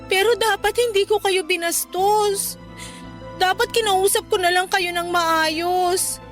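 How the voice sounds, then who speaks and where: A woman playing a devoted, forgiving young adult daughter in a radio drama, speaking gently and earnestly with a Filipino accent. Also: very high voice, steady tone, slow pace, full character voice. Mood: tearful, apologetic. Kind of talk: emotional radio drama dialogue. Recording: music bed under the dialogue.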